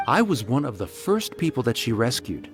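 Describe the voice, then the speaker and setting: A man's voice: medium pitch, sing-song, moderate pace. An actor playing an earnest, talkative soldier in a video game, telling his story in an emphatic, confiding way. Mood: friendly, intrigued. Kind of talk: game character dialogue, storytelling. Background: music.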